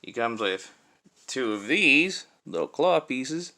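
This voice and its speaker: A man's voice: medium pitch, very animated, slow, bright, forceful tone. A man giving a casual hands-on demonstration as a toy reviewer, explaining things step by step.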